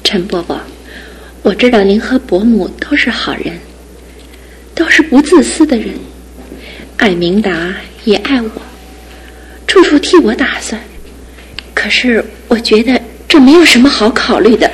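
An actress playing a devoted young fiancée in a studio radio drama, speaking earnestly and with soft insistence. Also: female voice, on the high side, animated, a little slow, pauses often, slight background noise, low-quality recording. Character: devoted young fiancée, gentle but resolute.

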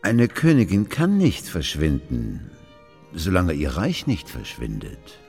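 A pompous old-world statesman speaks in a low voice that swings widely in pitch. He lectures and insists in a patronizing radio-play manner, with long hall reverb around him. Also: wildly swooping pitch, slow, gravelly, a little mellow, faint music behind, exaggerated voice. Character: patronizing male royal statesman pressing a queen to marry. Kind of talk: radio drama acting.

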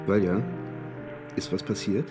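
A man's voice: low-pitched, steady tone, a little slow, over loud music. An actor playing a harried, irritable male mathematician in a German radio drama, given to exasperated muttering and lecturing. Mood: puzzled.

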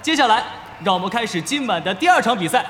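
A man's voice: high-pitched, big pitch swings, quick pace, slight background noise. A man playing a flustered young event host in a radio drama, polite and coaxing, with hesitant announcing. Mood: upbeat announcing. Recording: echoey arena reverb over loud music.

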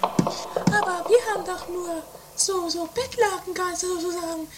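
A woman's voice: very high voice, measured pace, a crowd in the background. A woman playing a dramatic, bossy, whiny wife in an amateur comedy radio play. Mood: sleepy, irritable. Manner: theatrical, whiny, exclaiming. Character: Mathilde, dramatic bossy shrill wife in a castle. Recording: roomy distant 1980s home recording, music behind.

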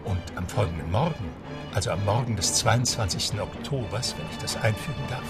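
A man's voice: on the low side, lively intonation, a little fast, far from the mic, over loud music. A man narrating a radio play in a literary storytelling style.

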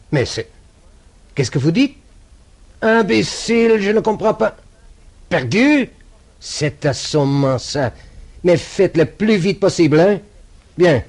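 Courteous, probing French police inspector. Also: male voice, a little high, animated, darkish tone, exaggerated voice. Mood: irritated. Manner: formal, measured, politely probing. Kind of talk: radio drama acting.

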